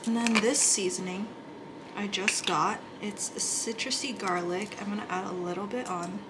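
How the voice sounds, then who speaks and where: A woman's voice: medium pitch, steady tone, moderate pace, very noisy. A young woman in a casual cooking vlog, talking through each step as she cooks in a small apartment kitchen. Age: young adult. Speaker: vlogger.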